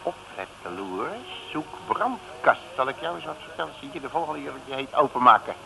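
An actor playing a boastful, muttering, self-important detective in a 1960s Dutch radio serial, with theatrical exclamations. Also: male voice, mid-range voice, lively intonation, moderate pace, creaky. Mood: smug, excited. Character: boastful, blustering male detective with a nose for money. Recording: noisy old radio recording.

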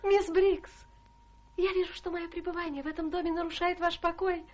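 A young governess speaks in a radio drama with a very high voice. She is sweet and demure, always ingratiating in a theatrical way. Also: flat delivery, normal speed, a little mellow, lo-fi sound.

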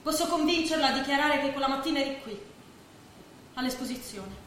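A woman's voice: high voice, fairly quick, a bit bright. An actress playing an anxious woman in love, imploring and emotional in a theatrical stage drama. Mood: urgent, hushed. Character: anxious, pleading lover caught between two men. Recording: echoey stage recording with faint noise.

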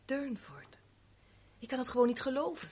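An actress with a Netherlands Dutch accent playing a troubled, quietly determined young woman in a 1970s radio play, restrained and earnest. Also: high voice, very animated, normal speed, breathy edge, full character voice. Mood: stunned disbelief.

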